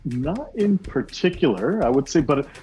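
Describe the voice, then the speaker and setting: A man's voice: on the high side, sing-song, moderate pace, warm tone, soft background music. A middle-aged man from Toronto, a sports broadcaster, chatting animatedly in an interview, laughing often and slipping into Punjabi. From Toronto, Canada. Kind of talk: casual video call interview.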